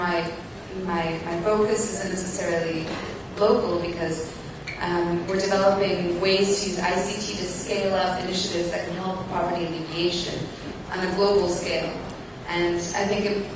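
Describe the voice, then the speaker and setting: A woman in her twenties speaking thoughtfully and unscripted as a participant in a conference discussion. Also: fairly low pitch. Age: 20s. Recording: roomy, distant mic, noisy hall.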